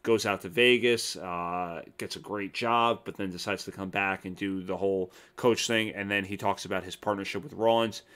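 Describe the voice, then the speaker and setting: A man from New Jersey hosting a casual interview podcast, relaxed and full of fillers, talking over a remote call. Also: low voice, normal speed, hardly any pauses, clear, resonant tone. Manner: relaxed, filler-heavy talk. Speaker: podcast host.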